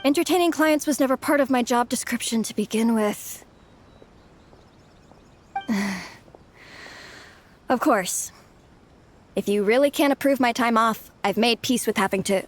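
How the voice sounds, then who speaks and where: A woman's voice: a little high, measured pace, pauses often, close to an everyday voice. An actress playing a polite, hesitant, overworked young female office worker in a video game. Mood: firm, polite.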